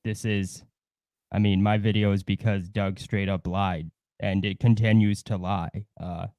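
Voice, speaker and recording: A man's voice: fairly low pitch, little pitch movement, unhurried, darkish tone, dry recording, clean studio sound. A man hosting a livestream with casual, opinionated chat, blunt and given to swearing. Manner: blunt, profane. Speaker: livestream host.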